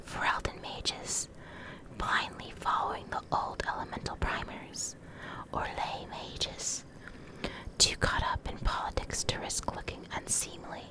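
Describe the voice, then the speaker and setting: A woman doing an ASMR reading in a soft, breathy whisper, deliberate and pausing between short phrases. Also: poor audio. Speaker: ASMR creator reading game lore.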